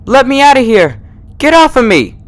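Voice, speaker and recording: A man voicing a frightened, angry young female pony wizard in a horror story reading, yelling and pleading. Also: very high voice, big pitch swings, moderate pace, pitched above the performer's own voice. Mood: desperate. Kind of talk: horror fan fiction reading.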